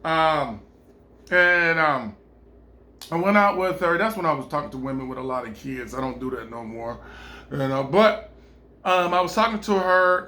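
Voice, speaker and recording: A man with a fairly high, bright voice tells a personal story in a casual vlog. He rambles and circles back, filling every pause with um and you know. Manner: rambling, many ums and you-knows.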